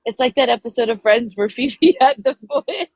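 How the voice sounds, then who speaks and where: A woman co-hosting a casual livestream, hoarse and raspy from a day on the phone, telling relaxed stories. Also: a little high, moderate pace, no room sound. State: hoarse from talking on the phone at work. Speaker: livestream co-host.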